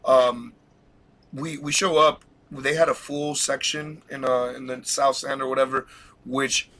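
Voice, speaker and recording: A man hosting a casual live podcast, talking excitedly and rambling with frequent laughs while fighting a cold. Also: mid-range voice, measured pace. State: has a cold. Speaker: podcast host.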